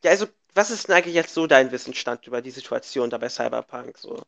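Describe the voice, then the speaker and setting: A man's voice: medium pitch, expressive, fast talker, gravelly, a bit bright, muffled recording. A young man co-hosting a casual gaming podcast, a bit sick and congested, rambling with many restarts and fillers. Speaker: podcast co-host. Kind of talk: casual chat.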